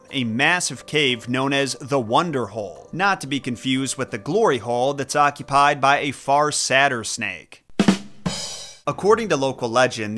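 A young man with a Midwestern American accent narrates a folklore podcast from a script. His voice is bright and forward, and he sits at a middle pitch. He delivers the story steadily and drops in wry jokes along the way. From Midwest United States. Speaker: podcast host.

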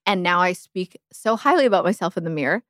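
A woman's voice: fairly low pitch, fast, no room sound, clean studio sound. A woman in her thirties, a podcast guest, talking candidly and with animation, often poking fun at herself. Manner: candid, animated, self-deprecating. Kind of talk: casual chat.

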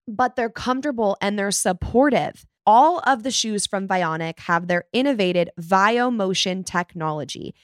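Podcast host, woman, mid-pitched voice, conversational ad read, very dry sound. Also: dry recording. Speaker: podcast host reading sponsor ad.

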